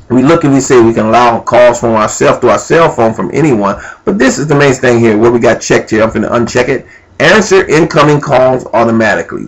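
A man giving an informal, unscripted step-by-step software walkthrough, thinking aloud as he goes. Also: mid-range voice, rough recording. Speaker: amateur tutorial presenter. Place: indoors at a computer.

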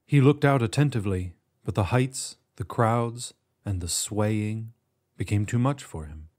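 A man narrating a first-person short story in a measured, expressive way with dramatic pauses. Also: lowish voice. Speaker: audiobook narrator.

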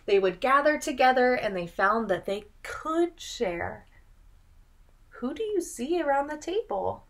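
A young woman reading a picture book aloud to children in an expressive, dramatic way, pausing to ask the listener questions. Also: sits high, creaky. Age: young adult. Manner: dramatic, drawn-out delivery with gasps and prompting questions. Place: small room at home, indoors.

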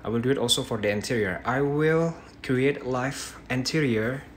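A man's voice: mid-range voice, a little hiss or hum. Indonesian-accented young man with a sore throat. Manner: halting, frequent "yeah" tags and restarts. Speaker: dentist teaching a live demo. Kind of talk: live-stream teaching, reading viewer questions. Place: small bedroom at night. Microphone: phone held close at arm's length.

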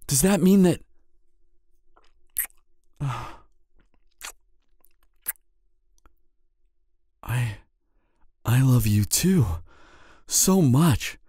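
A tender, devoted young knight, played by a man in an intimate fairy-tale audio drama. The delivery is halting and earnest, slow but swinging widely in pitch with emotion. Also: animated, warm tone, husky, no echo, studio quality, exaggerated voice. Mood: stunned, relieved.

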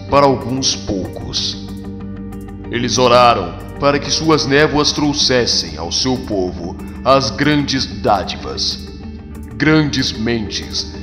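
A man narrating a comic story with dramatic, solemn, measured scripted delivery. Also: medium pitch. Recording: long reverb over music.

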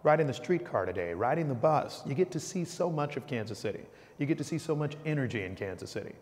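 Male, middle-aged, brisk public-address delivery, long reverb of a large hall. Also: mid-range voice, expressive, darkish tone.

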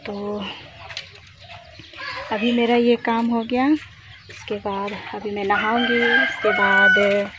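Young woman vlogger from Jharkhand, slow and chatty, tired from chores, dull phone audio. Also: mid-range voice, flat delivery. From Jharkhand, India. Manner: chatty, showing chores while walking and working. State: tired from a day of physical work. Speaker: village vlogger. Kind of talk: casual vlog narration to camera. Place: outdoors in a village yard. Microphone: phone camera at arm's length. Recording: dull lo-fi phone audio with clear outdoor noise.

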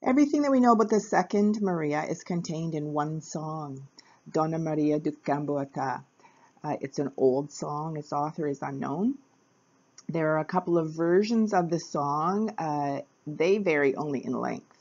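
A woman with a low voice narrates a capoeira history lesson at an easy pace. She hesitates often, filling gaps with uh between phrases. The recording sounds a little dull and lo-fi. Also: normal speed, darkish tone. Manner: hesitant, many uh fillers, plain. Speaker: capoeira teacher. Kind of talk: casual educational narration.

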